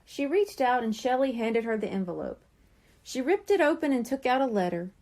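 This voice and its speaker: Very animated, high-pitched woman storyteller. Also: normal speed. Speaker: children's story reader. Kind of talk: expressive scripted storybook narration.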